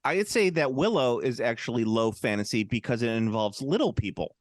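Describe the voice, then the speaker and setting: A man co-hosting a podcast, talking in a loose, jokey way with a lot of restarts and fillers. His pitch swings widely as he goes. The sound is close and dry, with almost no room. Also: lively intonation, brisk, no echo. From United States. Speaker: podcast co-host. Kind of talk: casual chat.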